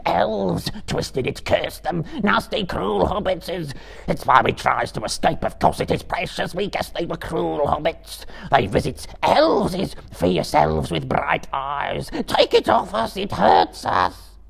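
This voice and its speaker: A man's voice: a little high, animated, slow, creaky, breathy edge, voice pushed up, heavily acted. A man voicing a wretched, cringing, sly creature in a thin, hissing whine for a live storybook reading. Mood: pained, bitter. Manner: pleading, broken grammar, repeats phrases. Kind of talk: dramatic reading of a fantasy novel.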